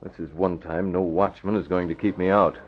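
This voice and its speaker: Man playing a deep-voiced, resolute radio crimefighter. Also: steady tone, fairly quick, natural voice. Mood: determined. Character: resolute masked male crimefighter, calm and commanding. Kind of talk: old-time radio drama acting. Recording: dull, noisy vintage radio recording.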